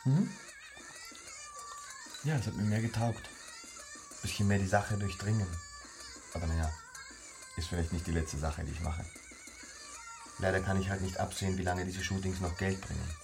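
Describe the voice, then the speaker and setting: A skeptical young man in a naturalistic radio drama. His voice sits extremely low, and his talk is laid-back and colloquial. Clear music runs underneath. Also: normal speed, pauses often. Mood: jaded, casual. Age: young adult. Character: disillusioned young male student and model, casual, skeptical.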